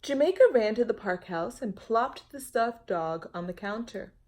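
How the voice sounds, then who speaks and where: A woman's voice: fairly high pitch, expressive, moderate pace, darkish tone. Woman reading a storybook to young children. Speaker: storytime reader. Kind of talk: children's read-aloud with chatty asides to young listeners.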